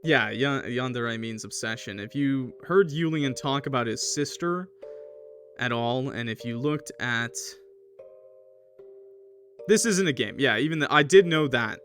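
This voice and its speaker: A man chatting casually on a livestream as a gamer, halting and hesitant, with a self-deprecating manner. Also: mid-range voice, fairly bright tone. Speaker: streamer playing and reviewing a game. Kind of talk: casual livestream chat, reacting to a game and viewer comments.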